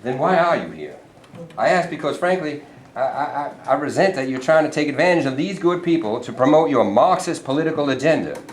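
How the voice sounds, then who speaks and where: A man playing a pompous, scheming middle-aged governor in a staged reading, with a smooth, condescending manner. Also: on the high side, expressive, a little fast, slight background noise, lightly acted. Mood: smug. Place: performance hall. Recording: roomy hall from a distant mic.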